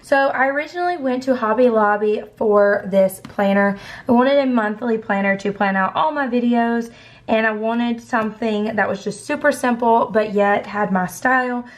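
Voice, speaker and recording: A young woman with laryngitis, hoarse, chatting casually through a planner haul. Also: on the high side. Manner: chatty, describing items as she flips through them. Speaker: planner vlogger.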